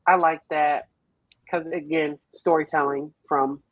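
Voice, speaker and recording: A woman's voice: deep voice, steady tone, unhurried, no room sound, phone-quality sound. A woman chatting casually as a podcast panelist about a film, slangy, profane and given to theatrical retellings. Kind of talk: casual animated chat.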